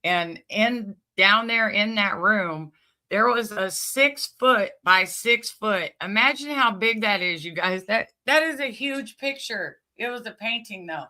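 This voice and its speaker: Bright-voiced woman chatting casually. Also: mid-range voice, unhurried. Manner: informal, conversational, addresses listeners directly. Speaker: host of a commentary channel. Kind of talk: casual chatty commentary to viewers.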